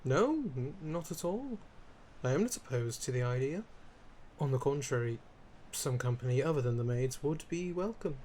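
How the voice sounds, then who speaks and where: A man's voice: medium pitch, animated, normal speed, mellow, a little hiss or hum, close to an everyday voice. A man playing a centuries-old vampire lord in a scripted roleplay addressed to the listener, haughty and dramatic, wry and easily flustered. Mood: grudging, menacing.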